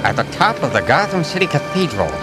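An actor playing a menacing, theatrical criminal clown in an audio drama, with a taunting, drawn-out delivery. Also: male voice, a little high, sing-song, loud music behind the voice. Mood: commanding. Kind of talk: audio drama character acting.

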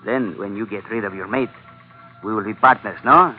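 A man's voice: on the low side, normal speed, natural voice. An actor playing a short-tempered, scheming gunman, bossing people about in broken English in an old radio adventure. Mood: sly, commanding. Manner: broken English, imperious and sly. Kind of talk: 1940s-50s radio adventure acting. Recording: narrow-band vintage radio recording, faint noise.

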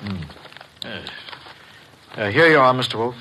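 A man's voice: mid-range voice, lively intonation, a little slow, bright, forceful tone, slight background noise, low-quality recording. An actor playing a fussy, courteous businessman in an old radio mystery, hesitant and flustered in his politeness. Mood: nervous, defensive.

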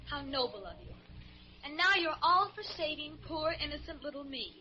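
A woman's voice: sits high, expressive, normal speed. A woman playing a defiant, sarcastic nineteen-year-old female drug addict in old-time radio drama. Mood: bitter, sarcastic. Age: young adult. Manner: sarcastic, hard-boiled. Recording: muffled, noisy vintage radio recording.

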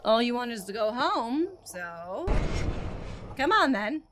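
High-voiced, haughty, cruel empress. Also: female voice, very animated, takes it slowly, noisy background. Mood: gloating, menacing. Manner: imperious, scornful, theatrical. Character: cruel, haughty empress, bitter toward her step-sister. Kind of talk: audio drama acting.

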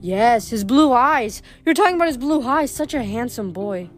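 A woman acts out a frail old storybook mother whose mind has drifted. Her voice is high and sing-song, full of exclamations and fond, wandering sweetness, with music behind it. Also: sing-song, moderate pace, a little mellow. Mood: cheerful, vague. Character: frail, addled old mother, dreamy and fondly doting.

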